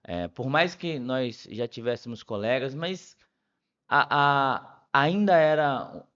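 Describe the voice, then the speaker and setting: A middle-aged man with a Bahian Brazilian accent telling stories casually as a podcast guest, often pausing and repeating words as he thinks. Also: mid-range voice, a bit bright, muffled recording. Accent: Bahian Brazilian Portuguese. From Salvador, Bahia, Brazil. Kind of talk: casual conversational storytelling.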